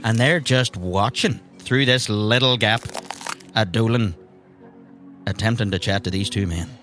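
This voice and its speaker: A man's voice: on the low side, unhurried, fairly bright tone, soft background music. A man working as game master, narrating an improvised tabletop game.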